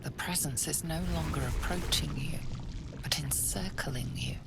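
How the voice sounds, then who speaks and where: A woman narrating a dark fantasy video game as an ominous, composed storyteller, measured and suspenseful. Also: vocal fry, very noisy, heavily acted. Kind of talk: scripted second-person fantasy narration. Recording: loud rumbling ambience behind narration.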